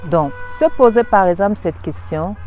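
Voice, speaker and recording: A woman's voice: mid-range voice, moderate pace, darkish tone, soft background music. A woman giving a reflective spiritual talk as a teacher, musing aloud and returning again and again to the same rhetorical questions.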